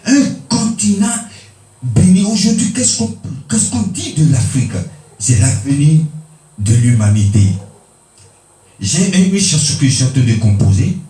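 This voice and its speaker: A man from Benin preaching a sermon, emphatic, with pitch swinging high and low for stress. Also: sing-song, a little slow, warm tone, faint music behind. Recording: echoey room, mic at a distance.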